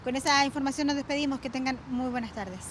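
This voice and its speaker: Female field reporter, Chilean accent, very high voice, halting live delivery over faint street noise. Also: steady tone. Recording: outdoor street noise, passing vehicles. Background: faint traffic noise.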